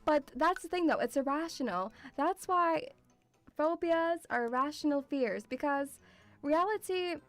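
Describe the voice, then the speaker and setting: Woman streamer, high voice, mixed European accent with Scottish touches, rambling and excitable, casual swearing, light music behind. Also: a little slow. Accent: mixed European with Scottish influence. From Lithuanian-Russian background, partly grew up in Scotland. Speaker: livestream host, VTuber. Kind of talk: casual livestream chat.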